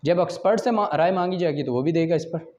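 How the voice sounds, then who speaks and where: Pakistani guy teaching a law class, pretty high voice, explains as he goes, dull lofi audio. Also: quick pace, a little mellow. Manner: explanatory, checks in with short questions, switches between English and Urdu. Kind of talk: classroom lecture, reading law articles and explaining them.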